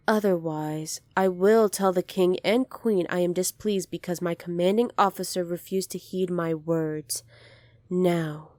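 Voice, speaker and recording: A woman playing a poised, kindly young alien princess in an ASMR roleplay, polite, formal and measured, soft-spoken with breathy moments. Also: fairly low pitch, no echo, lightly acted.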